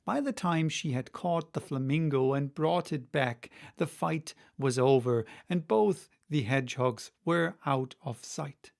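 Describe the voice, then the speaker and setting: A man with a fairly high voice reads a storybook aloud. He narrates as for an audiobook. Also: unhurried, gravelly, few pauses.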